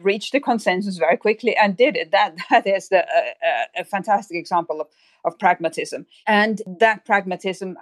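Lower-pitched woman giving expert analysis. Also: dry recording. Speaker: expert guest. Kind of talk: analytical podcast discussion.

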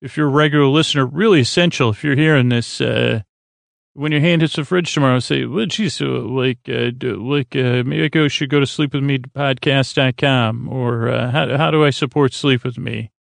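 A middle-pitched American man with a heavily creaky, gravelly voice, recorded close and dry. He hosts a bedtime podcast in a lulling ramble, wandering off, hesitating and tripping over filler words. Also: no room sound. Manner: meandering, halting, stumbling over words with many fillers. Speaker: host of a bedtime sleep podcast. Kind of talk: rambling bedtime monologue meant to lull listeners to sleep.

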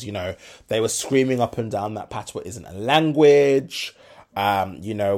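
A Brummie man talking on his podcast in a lively, opinionated way. His pitch leaps around a lot, and he often drops to a mutter before rising loud again. He is blunt and swears freely. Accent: Birmingham English. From Birmingham, England. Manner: animated, blunt, swears freely, swings between loud and quiet. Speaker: podcast host. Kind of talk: casual opinionated chat.

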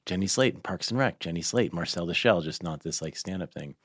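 A man with a very low voice hosts a casual podcast interview. He speaks informally and in a rambling way, and the studio sound is very dry. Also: animated, fairly quick, slightly rough, no room sound.

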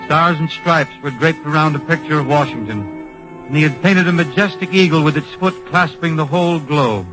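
An actor playing a solemn, compassionate narrator, grave and measured, in a scripted old-time radio drama. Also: male voice, fairly high pitch, over music, lightly acted, rough recording. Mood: grave, melancholy. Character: solemn storyteller, compassionate and patriotic.

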